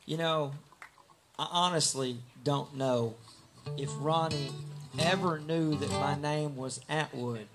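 A man's voice: on the high side, steady tone, takes it slowly. Wordy man over live guitar. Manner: wordy, rambling storyteller. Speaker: singer-songwriter. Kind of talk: live stage banter and singing. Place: outdoor beer garden stage. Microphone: stand mic.

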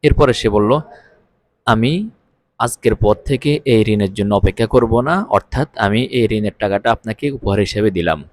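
A man's voice: on the low side, normal speed, no echo. A man teaching Arabic, explaining phrase by phrase with word-by-word glosses. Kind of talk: phrase-by-phrase translation lesson.

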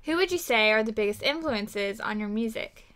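A woman's voice: a little high, lively intonation, normal speed, fairly bright tone. A woman co-hosting an interview, asking and remarking in a casual conversational way. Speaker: interviewer, co-host.

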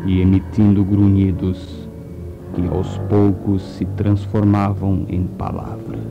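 A man narrating a radio play in a slow, solemn, mock-suspenseful way. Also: deep voice, level tone, vocal fry, lo-fi sound, music in the background.

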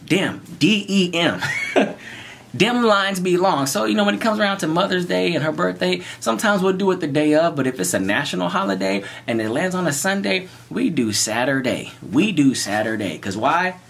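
Man in his 30s, playful vlogger, close camera mic, very lively pitch. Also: normal speed, gravelly. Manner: animated, playful, jokes and repeats lines. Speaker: vlogger giving marriage tips. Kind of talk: casual to-camera chat. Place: small bright room indoors. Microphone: camera in front of him at close range.

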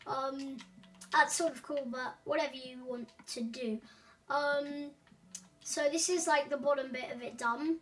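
A woman's voice: high-pitched, fairly even intonation, unhurried, a bit bright, distant mic. A woman hosting a casual building walkthrough, rambling with many ums and self-corrections. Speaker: gaming tutorial host.

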